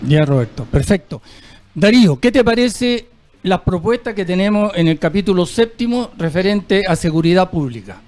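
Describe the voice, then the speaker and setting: A man's voice: high-pitched, lively intonation, moderate pace, a little mellow. A male host with a Chilean accent moderating a political panel, talking in a conversational, slightly hesitant way.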